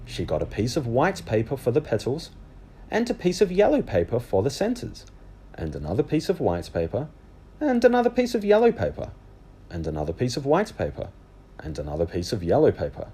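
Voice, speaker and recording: A man reading a children's book aloud as animated storybook narration. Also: mid-range voice, brisk, slightly rough.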